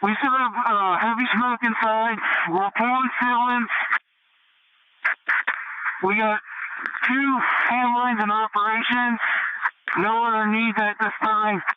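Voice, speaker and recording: A man's voice: very high voice, fairly even intonation, slow, long pauses, muffled recording. A man working as a fire officer, giving terse status reports over a two-way radio. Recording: noisy, band-limited fireground radio traffic.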